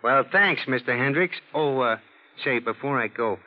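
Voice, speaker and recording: A man's voice: mid-range voice, lively intonation, moderate pace, lightly acted. A man playing a blunt, unrefined police sergeant with slangy, plain talk in a 1940s radio drama. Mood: blunt, eager. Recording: old muffled radio drama recording.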